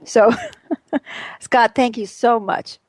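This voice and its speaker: A woman's voice: fairly high pitch, steady tone, extremely slow, dry recording. A woman hosting a radio panel discussion, bubbly and emphatic, laughing often as she introduces her guests. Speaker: radio show host.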